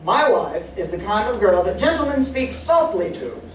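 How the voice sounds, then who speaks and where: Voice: medium pitch, very animated, moderate pace, close to an everyday voice. A young performer playing an exasperated, scolding straight man in a vintage radio comedy routine on stage. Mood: earnest, exasperated. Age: young adult. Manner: scolding, prompting. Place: live stage in a community playhouse. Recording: echoey hall, distant mic, noise.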